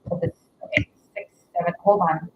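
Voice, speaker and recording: A woman's voice: fairly low pitch, wildly swooping pitch, fairly quick, lo-fi sound, background noise. A woman doing a live tarot reading in casual chat, rambling with many fillers and giggles. Her nose is stuffy. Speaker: tarot reader.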